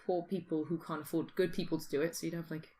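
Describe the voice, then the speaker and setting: A British woman with a fairly low voice talks as a podcast host. She is informal and musing, working through ideas aloud in casual, speculative chat. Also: little pitch movement, fast, warm tone, a little hiss or hum.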